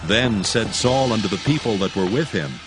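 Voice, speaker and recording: A man narrating a dramatized Bible in a solemn, measured, scripted style. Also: fairly low pitch, expressive, poor audio. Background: music.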